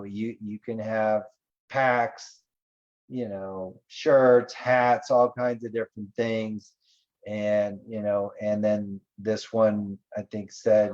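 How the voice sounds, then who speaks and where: A man's voice: lowish voice, darkish tone, highs cut off. A man hosting an online business webinar, talking casually with many fillers and loose asides. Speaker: webinar host.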